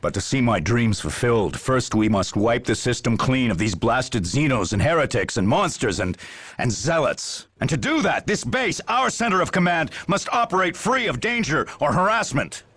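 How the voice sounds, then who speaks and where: A man's voice: mid-range voice, big pitch swings, normal speed, a bit bright, husky, no room sound. A man playing a stern, weary military general in a video game, speaking in grandiose speeches and clipped questions. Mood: grave, resolute. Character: stern, weary Imperial Guard general, zealous and commanding. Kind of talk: dramatic video game cutscene acting.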